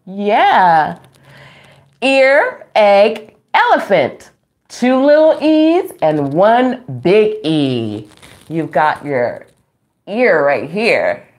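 Very animated, slow-paced woman reading to children. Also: medium pitch. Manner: animated, exclaiming, sing-song emphasis; addresses young listeners with questions. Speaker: children's storytime host. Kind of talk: read-aloud to young children. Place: small room at home, indoors.

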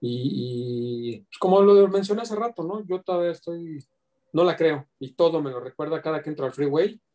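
A man's voice: fairly high pitch, moderate pace, warm tone. A middle-aged man speaking earnestly on a video call, giving a reflective tribute to a friend and mixing in quoted asides. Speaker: community organizer paying tribute.

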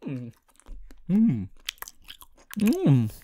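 A man playing a young, cheerful aspiring superhero student in an audio roleplay, earnest and goofy, with booming theatrical boasts and hesitant asides. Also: huge pitch swings, extremely slow, dark tone, slight background noise, exaggerated voice. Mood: delighted, flustered. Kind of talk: ASMR audio roleplay addressed to listener.

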